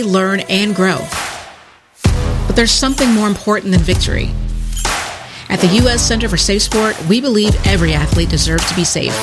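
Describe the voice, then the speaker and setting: A woman with a mid-pitched voice reads a public service ad with an earnest, polished delivery. Clear music plays underneath her. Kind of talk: scripted ad read.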